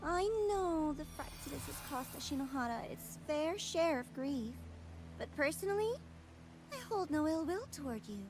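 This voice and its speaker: High, swooping, sly villainess. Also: female voice, lively intonation, normal speed. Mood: mock sympathetic. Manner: playful, taunting, theatrical. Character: sly, theatrical female villain, mockingly courteous and teasing. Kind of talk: game voice acting.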